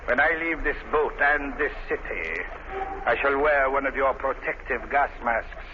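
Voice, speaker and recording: A man's voice: medium pitch, a little slow, natural voice. Man acting a cold, grandiose radio crime villain. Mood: gloating menace. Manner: menacing, formal, grandiose villain delivery. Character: megalomaniac male criminal mastermind, cold and merciless. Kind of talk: old time radio crime drama acting. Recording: noisy, muffled vintage radio recording.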